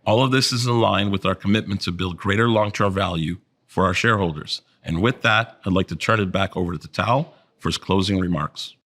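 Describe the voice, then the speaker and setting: A man with a fairly low voice reads a prepared financial report. His delivery is formal and measured, that of a senior finance executive addressing investors. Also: fairly bright tone. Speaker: chief financial officer presenting results. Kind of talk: scripted financial presentation.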